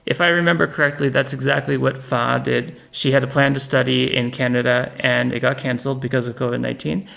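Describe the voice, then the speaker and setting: Very deep-voiced woman host with creaky voice. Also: fairly even intonation, fairly quick, few pauses, fairly bright tone. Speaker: podcast host. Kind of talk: conversational interview.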